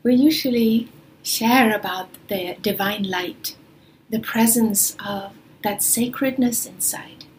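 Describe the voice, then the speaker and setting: Slow, soft-spoken Swedish woman. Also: fairly high pitch, poor audio. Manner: slow, soft, often near a whisper. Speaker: spiritual teacher. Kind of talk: spiritual talk. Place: traveling, away from her studio.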